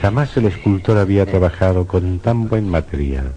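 Very deep-voiced male horror narrator. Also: animated, normal speed. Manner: suspenseful, theatrical, sinister. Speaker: narrator of a horror radio play. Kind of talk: dramatic scripted horror narration. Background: music.

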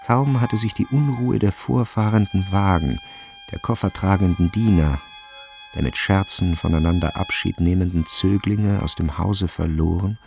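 A man narrating a literary radio drama from a script, measured and precise in his storytelling. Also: deep voice, vocal fry.

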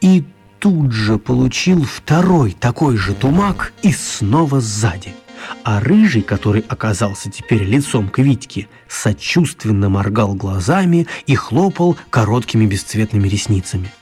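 A man narrating a children's story for radio in an expressive storytelling style. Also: on the low side, unhurried, faint music behind.

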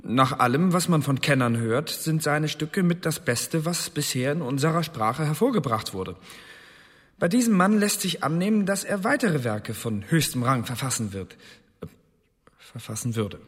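Worried earnest clerk, highish husky voice, polite, echoey hall, radio drama. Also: male voice, sing-song, normal speed, fairly bright tone, heavily acted. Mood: troubled, earnest. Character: earnest, troubled male court clerk who admires theatre. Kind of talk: German radio drama acting. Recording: long reverberant hall.

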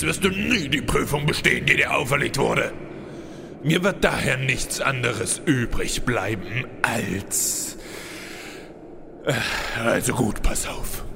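An actor playing a stern, exasperated instructor giving clipped orders in a comedy fantasy audio play. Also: male voice, mid-range voice, gravelly, background noise, full character voice.

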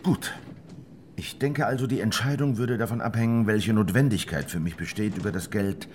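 A man playing a wary revolutionary in a historical radio drama. He speaks in a low, measured voice, sceptical and probing, as though weighing each thought aloud. There is faint noise behind him. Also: darkish tone, natural voice. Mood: pondering.

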